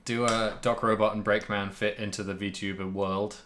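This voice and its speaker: Low-pitched man in casual chat. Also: flat delivery, moderate pace, fairly bright tone, a little hiss or hum. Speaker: podcast co-host. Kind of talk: casual comedic chat about a video game.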